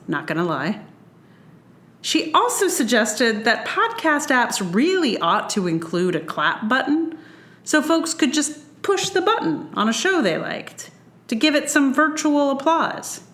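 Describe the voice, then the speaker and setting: A woman podcast host reading her own personal essay aloud with measured, deliberate phrasing. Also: medium pitch, a bit bright, roomy sound.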